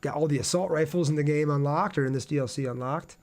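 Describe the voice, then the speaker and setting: A man's voice: on the high side, fast talker, a little mellow. A man giving casual live gameplay commentary as a game streamer, talking to his viewers as he plays.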